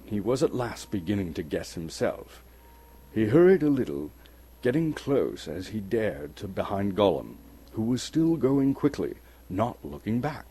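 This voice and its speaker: A man's voice: lowish voice, mellow, slightly rough. A man from Canada giving a dramatic scripted narration of a fantasy story as an actor and storyteller. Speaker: storyteller, actor reading a novel.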